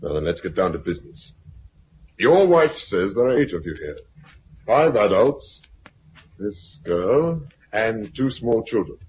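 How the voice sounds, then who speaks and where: Smooth British commander, low and animated. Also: male voice, heavily acted. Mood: coolly authoritative. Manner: brisk, officious, condescending. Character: self-appointed regional commander, smooth, officious, menacing man. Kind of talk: 1950s British radio drama acting. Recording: muffled, hissy 1950s radio recording.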